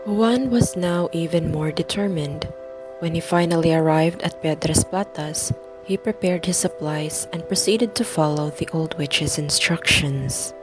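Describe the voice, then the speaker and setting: A woman with a low voice narrates a radio play as scripted storytelling. There is clear music behind her. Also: a little slow, low-quality recording.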